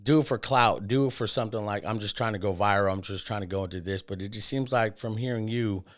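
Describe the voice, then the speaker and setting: A man about forty hosts a podcast in a relaxed, rambling way, full of fillers. His voice sits a little low. He keeps it friendly and informal. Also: quick pace. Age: 40s. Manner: loose, filler-heavy, says "man" and "dude". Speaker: podcast host. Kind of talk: casual podcast chat.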